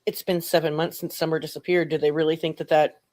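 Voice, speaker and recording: A woman's voice: sits low, flat delivery, fast, a little mellow. A woman hosting a commentary channel, talking casually and bluntly with a colloquial, mocking edge.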